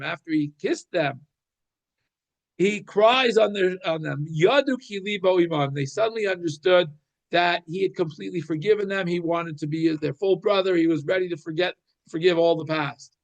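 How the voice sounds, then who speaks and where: A man with a notably high-pitched voice teaches an informal Torah class. He explains the text like an animated storyteller, thinking aloud and circling back over phrases as he goes. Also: vocal fry. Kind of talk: informal religious shiur, explaining scripture.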